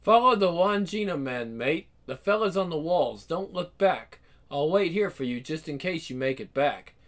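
A man's voice: fairly high pitch, lively intonation, moderate pace, a bit bright. A swaggering rebel rogue who is boastful and flirtatious. He sounds loose and self-assured. He plays as a cocky charmer in a radio drama.

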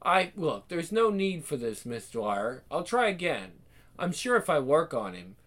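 A man's voice: a little high, very animated, a little slow, fairly bright tone, heavily acted. An actor playing a haughty aristocratic Englishman who speaks in clipped, nervous tones in a radio drama. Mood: pleading. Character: haughty aristocratic Englishman, uneasy mind-reader.

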